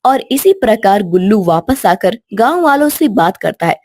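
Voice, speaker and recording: A woman's voice: medium pitch, sing-song, normal speed, darkish tone. A woman storyteller reading a scripted children's story as narration.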